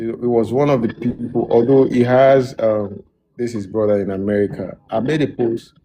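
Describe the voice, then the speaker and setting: A man's voice: fairly low pitch, a little hiss or hum, poor audio. A man, a music producer, telling his story in a casual interview with a lot of restarts. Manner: relaxed, loose storytelling with many restarts.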